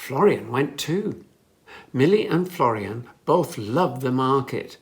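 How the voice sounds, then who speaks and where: A children's storyteller reading a scripted story aloud for young listeners, with expressive, careful phrasing. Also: medium pitch, slow pace.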